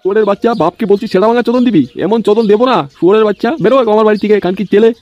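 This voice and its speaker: An explosive cartoon dad, played by a man scolds at breakneck speed in a raised, high-pitched voice. He is middle-aged, foul-mouthed and gruff. Music plays clearly behind him. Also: steady tone, very fast, darkish tone, no room sound, lo-fi sound, lightly acted. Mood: furious. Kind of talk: amateur comedy cartoon dubbing.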